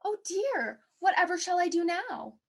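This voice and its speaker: A woman's voice: very high-pitched, big pitch swings, normal speed, gravelly, heavily acted. A woman playing a swooning, starstruck co-host on a 1940s radio show, in period acting style. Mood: gushing, dramatic.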